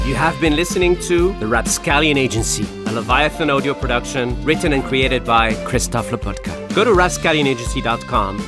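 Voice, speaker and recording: A man delivering scripted narration and announcements for an audio drama. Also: mid-range voice.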